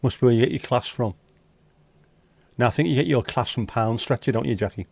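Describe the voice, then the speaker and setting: Mellow-voiced man bantering with chat. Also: mid-range voice, a little fast, gravelly, breathy edge. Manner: teasing, jokey banter with casual swearing. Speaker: livestream host reading chat. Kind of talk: casual chat. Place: home livestream setup.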